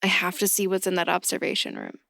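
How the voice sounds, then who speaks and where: A woman's voice: fairly low pitch, wildly swooping pitch, quick pace, creaky, bright, forceful tone, no echo, clean studio sound, exaggerated voice. An actress playing a determined, inquisitive woman in an audio drama, reasoning insistently. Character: determined, inquisitive woman stubbornly after answers.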